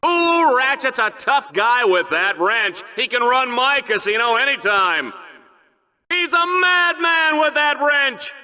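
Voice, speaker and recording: An actor playing a smarmy, bombastic sci-fi bloodsport announcer in a video game, hammy and excitable in his play-by-play. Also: extremely high pitch, takes it slowly, full character voice. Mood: hyped. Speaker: play-by-play commentator. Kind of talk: over-the-top sports commentary, video game acting.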